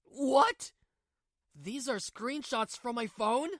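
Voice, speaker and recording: A woman's voice: a little high, slow pace, no room sound, studio quality. A woman voicing a weak, cheating husband in a manga dub, stammering and pleading.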